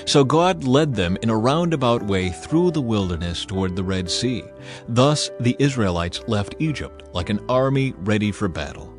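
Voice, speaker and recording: A man's voice: fairly low pitch, animated, moderate pace. A man narrating scripture in a scripted, dramatized audiobook style. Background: music.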